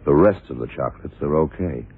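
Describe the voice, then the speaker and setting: A very deep-voiced, dry male detective fires clipped, probing questions. The voice is husky and unflappable, in the manner of a seventies radio thriller. It comes through thin and tinny, like an old broadcast. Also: expressive, fairly quick, heavily acted. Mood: puzzled, methodical. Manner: clipped, matter-of-fact questioning. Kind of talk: 1970s radio crime drama acting. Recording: tinny, band-limited old radio recording.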